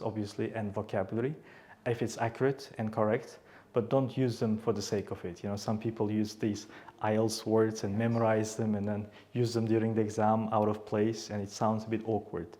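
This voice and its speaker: A man's voice: on the low side, dark tone. A man with an Uzbek accent explaining things as a teacher in an interview. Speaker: IELTS teacher, interview guest.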